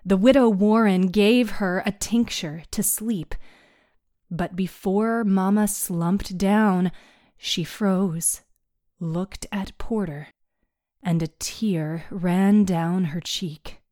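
Woman narrator, slow pace, medium pitch, close dry sound, dramatic measured storytelling, scripted recap. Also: mellow, no room sound.

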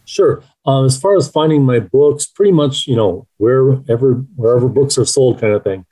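Mellow-voiced man answering interview questions. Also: medium pitch, moderate pace, low-quality recording. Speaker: author being interviewed.

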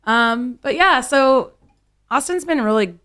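A woman's voice: sits high, animated, takes it slowly, fairly bright tone. A woman of thirty-eight from California, a bartender chatting casually in an interview, with loose phrasing full of "like" and "um". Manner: chatty, loose, many fillers like "like" and "um". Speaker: bartender, guest.

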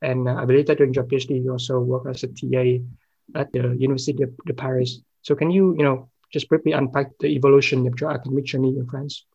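Fast-talking husky male podcast host. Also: medium pitch, flat delivery, warm tone, dull audio. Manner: reads the intro, then asks with "you know" fillers. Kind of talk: interview hosting.

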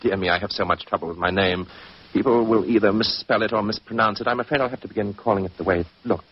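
A smug, politely menacing mastermind in 1940s radio style. His voice is low and he taunts with drawling courtesy, through a muffled vintage broadcast. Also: quick pace, slightly rough. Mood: smug, taunting.

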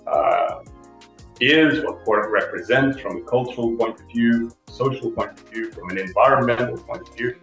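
Middle-aged man, Portuguese accent, deliberate conversational explainer, echoey room, slightly off-mic. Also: mid-range voice, fairly bright tone. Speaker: cork industry representative, podcast guest. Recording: roomy, distant mic, faint music.

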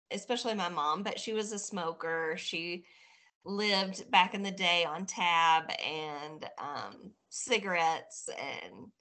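A woman, a podcast guest chatting casually and reflectively, with many pauses and fillers. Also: medium pitch, pushed and bright. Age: 40s. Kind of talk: casual chat.

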